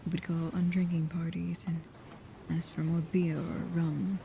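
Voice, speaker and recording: A woman playing a guarded, protective vampire with a painful past, speaking slowly, softly and hesitantly, often in whispers, in an intimate ASMR roleplay. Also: fairly low pitch, level tone, creaky, background noise. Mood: somber, confessing.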